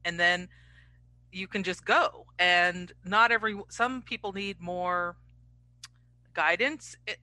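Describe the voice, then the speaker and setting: A woman's voice: on the low side, slow pace, long pauses, pushed and bright, no room sound. A woman hosting a podcast, talking in a conversational, reflective way and prompting her guest. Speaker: podcast host. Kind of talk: casual podcast interview.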